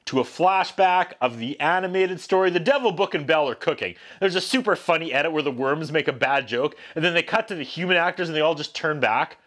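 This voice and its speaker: Male vlog host, 40s, high voice, sarcastic and exasperated comedic review delivery, animated. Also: brisk, hardly any pauses. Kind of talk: comedic scripted-style review rant to camera. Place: small indoor room.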